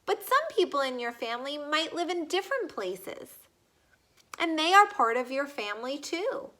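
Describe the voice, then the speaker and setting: A woman reads a children's book aloud in a high, bright voice. She goes slowly and deliberately, speaking straight to young listeners and asking them questions as she reads. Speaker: read-aloud reader.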